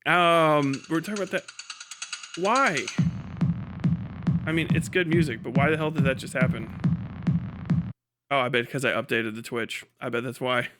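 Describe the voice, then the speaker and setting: A man's voice: medium pitch, moderate pace, pauses often, music in the background. A man hosting a casual livestream, talking in a sweary, dismissive, sardonic way. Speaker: livestream host.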